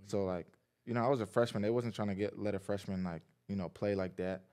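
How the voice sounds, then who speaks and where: Laid-back young man, smooth low voice. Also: fast talker. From Massachusetts, US. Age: 20s. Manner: relaxed, laid-back storytelling with frequent "like". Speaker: guest, pro football player. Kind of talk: casual podcast interview. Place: small indoor podcast studio. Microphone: boom mic on arm beside him.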